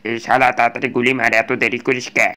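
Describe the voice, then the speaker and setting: A man playing a rough, impatient army soldier in a comic cartoon, barking and cursing. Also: mid-range voice, level tone, fast, bright, forceful tone, close to an everyday voice. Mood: impatient, angry.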